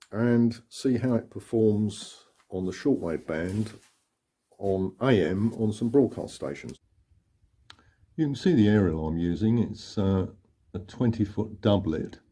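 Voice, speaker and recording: A man with a husky voice giving an unhurried, matter-of-fact hands-on demonstration of equipment. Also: sits low, dark tone. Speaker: radio hobbyist presenter.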